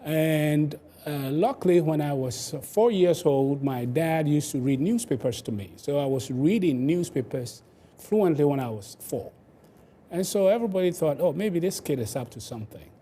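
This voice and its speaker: Mellow Ghanaian man, noisy background. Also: fairly high pitch, lively intonation, normal speed. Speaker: inventor, interview guest. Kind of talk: explanatory interview talk. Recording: clear background noise.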